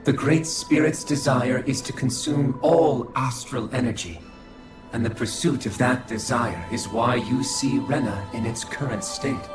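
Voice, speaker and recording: A man voicing a coldly logical, formal alien scholar in a video game cutscene, speaking in a measured, clinical way. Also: mid-range voice, close to an everyday voice. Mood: clinical, detached. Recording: echoing voice over game music.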